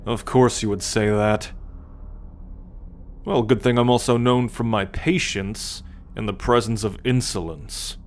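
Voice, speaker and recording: A man playing a smug, teasing, haughty alien soldier and scout in an ASMR roleplay, talking straight to the listener with deliberate condescension. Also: lowish voice, steady tone, natural voice. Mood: dry, patient. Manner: slow, deliberate, smugly condescending.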